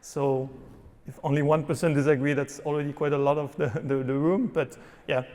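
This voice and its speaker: A man answering as a panelist, halting, with many restarts and fillers. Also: fairly high pitch, steady tone, darkish tone. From Switzerland. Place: conference hall on stage. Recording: reverberant conference hall.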